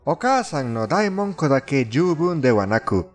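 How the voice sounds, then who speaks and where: Man, foreign accent, very lively intonation, fairly high voice, polite theatrical countdown narration, music underneath. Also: normal speed. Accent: foreign, non-native Japanese. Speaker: fandub narrator of a countdown video. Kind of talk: scripted narration of creepy countdown stories. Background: background music.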